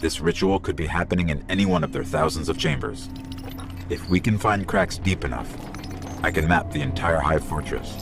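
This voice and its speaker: A small robotic companion from a video game, guiding in a very deep voice. The delivery is dry and measured but moves freely up and down, with a gravelly creak. Music plays clearly behind it. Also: male voice, expressive. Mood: focused, alert. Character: small robotic companion, male, calm guide and scout. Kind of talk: scripted game voice acting, mission narration.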